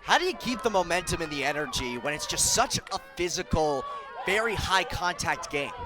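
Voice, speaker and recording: A man's voice: on the high side, animated, normal speed, rough recording. An American man in his twenties calling live play-by-play for a sports broadcast with a projected announcer delivery. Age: 20s. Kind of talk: live lacrosse television commentary. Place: outdoor stadium broadcast position. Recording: stadium crowd and outdoor noise. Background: crowd and stadium noise.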